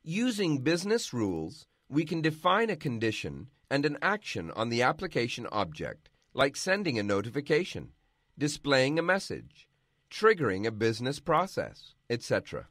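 Guy doing a software how-to voiceover, bouncy intonation, dry close mic. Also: medium pitch, wildly swooping pitch, dry recording. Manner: measured, instructional. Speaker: software tutorial narrator. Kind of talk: scripted narration.

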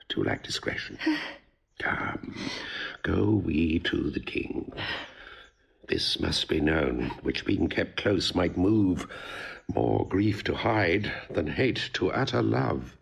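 A man's voice: lowish voice, vocal fry, breathy edge, heavily acted. An actor playing a fussy, sententious older father and royal counsellor in a classical Shakespearean audio drama.

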